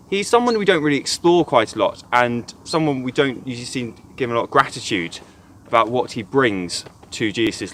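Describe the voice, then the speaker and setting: A man's voice: mid-range voice, a bit bright, slight background noise. A man, a church youth worker, gives an earnest, reflective devotional talk retelling a Bible story.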